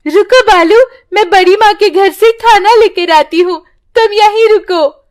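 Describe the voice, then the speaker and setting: A very high, tearful little orphan girl acted by a woman pleads in a children's moral tale. Her delivery is slow and sorrowful, full of hunger and grief. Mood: reassuring. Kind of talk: children's moral story acting.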